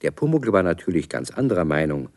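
A man's voice: low voice, sing-song, fast talker, darkish tone. A man playing a genial narrator, telling a scripted story for a children's radio play. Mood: amused.

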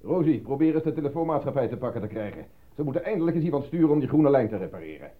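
A man's voice: mid-range voice, big pitch swings, close to an everyday voice. Een acteur die in een hoorspel een drukke, ongeduldige zakenman speelt die bevelen geeft. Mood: brisk, impatient. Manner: brisk, commanding. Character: busy, impatient businessman giving orders. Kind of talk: radio play acting. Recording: narrow phone-like old recording.

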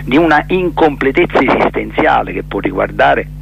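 A man giving a reflective radio interview as a theatre director, halting and emphatic, repeating words as he searches for terms. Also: mid-range voice, lively intonation, vocal fry, highs cut off, a little hiss or hum.

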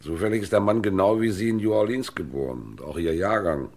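An actor playing a probing police inspector in a radio crime drama, asking clipped, businesslike questions. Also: male voice, sits low, expressive, brisk, darkish tone.

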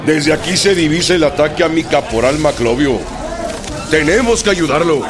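An actor with a Mexican accent playing a forceful, decisive revolutionary commander in a radio drama. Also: male voice, a little high, moderate pace, lightly acted. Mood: resolute. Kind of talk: Spanish-language radio drama acting. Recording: loud music and battle crowd noise behind.

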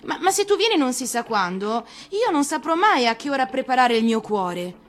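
Woman as an earnest storybook fox, expressive and musical. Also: high-pitched, moderate pace, faint music behind, voice pushed up. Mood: eager, happy. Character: gentle, earnest female fox, patient storybook teacher.

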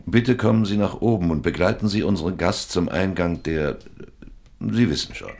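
An actor playing a sinister, mocking underworld host in a radio play, speaking gravelly, deliberate lines with cold menace. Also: male voice, sits low, lively intonation, a little mellow. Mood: coolly superior. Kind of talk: modern radio play acting, partly rhythmic recitation. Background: music.

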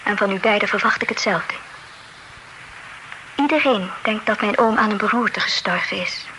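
A woman playing a soft-spoken dignified young heiress. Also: mid-range voice, natural voice. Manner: soft, often near whisper, measured. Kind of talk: old radio drama acting. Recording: noisy old radio play recording.

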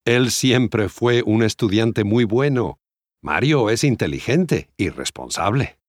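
A man acting a friendly conversational part in a scripted language-course dialogue, enunciating clearly. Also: lowish voice, lively intonation, slow, no echo, clean studio sound.

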